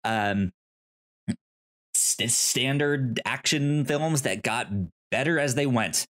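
A man co-hosting a podcast in casual movie chat, with a halting, hesitant delivery. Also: medium pitch, a bit bright. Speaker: podcast co-host.

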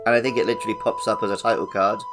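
A man's voice: fairly low pitch, little pitch movement, very fast, music in the background. A man chatting casually about a game as a podcast co-host, with dry, wry humour. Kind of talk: casual game discussion chat.

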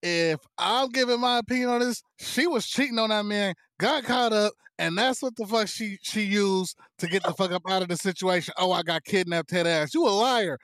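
High-voiced, joking man in his thirties. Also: moderate pace, hardly any pauses, no echo, studio quality. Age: 30s. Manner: loud, joking and profane. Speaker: podcast co-host. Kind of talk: casual podcast banter.

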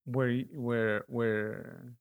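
A middle-aged man with a Peruvian accent, an artist talking in a relaxed interview, reflective and halting, with many restarts. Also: mid-range voice, clear, resonant tone, phone-quality sound.

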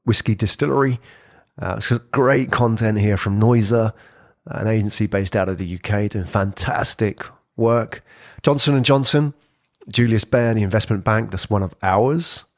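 A man's voice: fairly low pitch, no room sound. Man explaining in a dry, close sound. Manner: lively and conversational, with frequent "sort of" hedges. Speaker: presenter on brand podcasting. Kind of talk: informal explainer talk.

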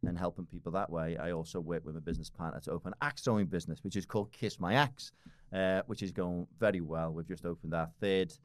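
A man in his forties with a Liverpool accent talks in a very low voice at a quick clip. He rambles through a casual podcast chat, restarting his sentences, filling the gaps and swearing offhandedly. The sound is dry and close. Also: steady tone, no echo. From Liverpool, England. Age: 40s. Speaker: podcast guest.

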